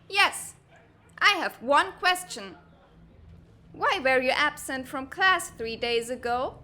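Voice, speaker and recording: A woman voicing a brash, hot-tempered teenage girl in a comic dub, blustery, bossy and quick to get defensive. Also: sits high, lively intonation, bright, forceful tone, exaggerated voice. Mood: bossy, indignant. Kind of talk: animated comic-dub voice acting.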